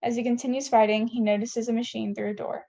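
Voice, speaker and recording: A woman's voice: a little high, flat delivery, fast talker, gravelly, dark tone. A woman reading scene headings and stage directions as narrator of a table read, on a dull lo-fi recording.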